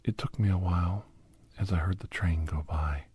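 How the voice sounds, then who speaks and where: A man narrating a first-person ASMR story in a breathy, whispery voice. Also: deep voice, steady tone, moderate pace, darkish tone, no echo.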